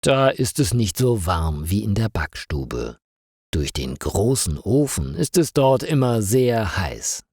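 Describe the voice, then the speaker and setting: A man's voice: fairly low pitch, big pitch swings, slow pace, darkish tone, husky, dry recording, clean studio sound. A man reading a scripted story for language learners as its narrator.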